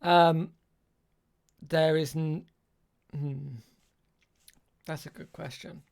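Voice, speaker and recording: Man, tabletop game master, unhurried, gravelly fry, hesitant, improvised narration. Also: a little high, steady tone, a little mellow. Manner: hesitant, thinking aloud.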